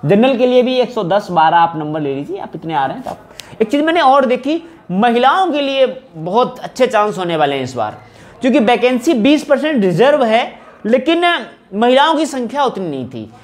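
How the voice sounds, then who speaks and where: A man's voice: very high voice, very animated, normal speed. A man gives an informal explanatory lecture as a coaching teacher, often repeating phrases for emphasis. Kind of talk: informal exam analysis lecture to camera. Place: small indoor room, at a whiteboard. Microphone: wired lapel mic.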